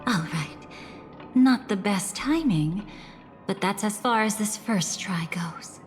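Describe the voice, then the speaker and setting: An actress playing a composed, enigmatic woman who guides a listener through memories in a video game, speaking in a measured, cryptic way. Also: medium pitch, expressive. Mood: composed, mysterious. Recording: long reverb under clear music.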